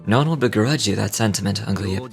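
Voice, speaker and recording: Low-voiced sage gentleman for a game: composed, formal, measured, philosophical. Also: gravelly. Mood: calm, reassuring. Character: composed, wise, courteous gentleman who looks young. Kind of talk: video game dialogue.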